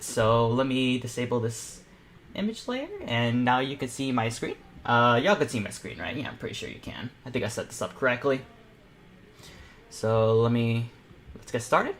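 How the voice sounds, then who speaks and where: Nervous teenage guy on a livestream. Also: medium pitch. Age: teens. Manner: nervous, excitable, rambling. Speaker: streamer and painting panel host. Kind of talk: casual livestream chat. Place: indoors at a computer, live stream.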